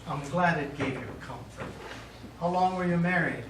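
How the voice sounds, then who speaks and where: In a roomy, slightly noisy stage recording, a gentle parish priest played by a male actor speaks from a distance. He is measured and formal, offering sympathy as a consoling clergyman in a live play. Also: a little high, lightly acted. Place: small indoor theatre studio, recorded from audience distance.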